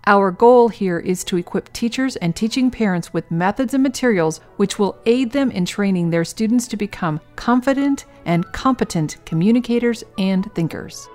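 A woman's voice: mid-range voice, expressive, moderate pace, hardly any pauses, darkish tone, soft background music. A woman co-host reading a polished, welcoming podcast introduction.

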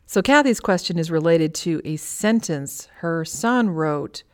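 A woman's voice: lowish voice, expressive, a little slow, clean tone. A woman hosting a podcast, speaking articulately in conversation and putting questions to her guest. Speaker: podcast host and interviewer. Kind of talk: hosted podcast conversation, reading listener questions.

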